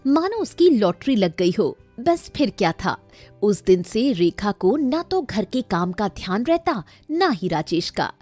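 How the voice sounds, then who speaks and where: A woman's voice: on the high side, warm tone. A woman narrating a scripted story in a dramatic storytelling style. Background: faint music.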